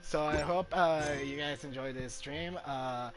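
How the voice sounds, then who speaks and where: Halting male streamer over game music. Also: fairly high pitch. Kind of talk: casual livestream chat over gameplay. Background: video game music.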